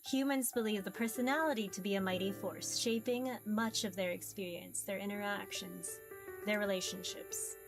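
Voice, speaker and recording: A woman voicing a mysterious ancient being in a visual novel, formal, measured, amused and curious about humans. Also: medium pitch, fairly even intonation, music in the background, lightly acted. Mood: formal, guiding. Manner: formal, measured, archaic phrasing. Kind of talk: visual novel character read-aloud.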